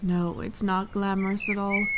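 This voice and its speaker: A woman playing a regal, dryly amused and protective fae leader in an intimate ASMR fantasy roleplay, speaking in a measured, composed, gently condescending way. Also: medium pitch, little pitch movement, very noisy, lightly acted. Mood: composed, wry. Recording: forest ambience with birdsong. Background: birdsong and forest sounds.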